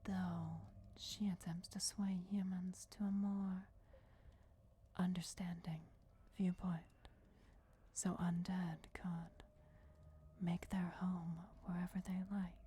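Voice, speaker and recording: A woman's voice: lowish voice, flat delivery. A woman whispering as an ancient vampire goddess. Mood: solemn, patient. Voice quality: soft, breathy whisper. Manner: slow, deliberate, formal, measured. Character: ancient vampire deity in feminine form, regal and patient. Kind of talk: whispered fantasy ASMR roleplay. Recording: clear background noise, crypt ambience. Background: crypt ambience.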